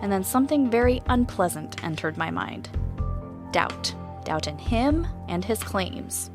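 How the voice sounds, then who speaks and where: A woman's voice: mid-range voice, lively intonation, a little slow. An actress playing an earnest, doubting woman who hosts an investigative podcast, in a scripted audio drama. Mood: pensive. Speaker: podcast host. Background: music.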